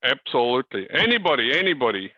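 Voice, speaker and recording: A man's voice: mid-range voice, very animated, fast, sharp tone, dry recording. Man coaching over a dull video call. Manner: repeats words, circles back on phrases. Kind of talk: informal coaching talk addressed to a group.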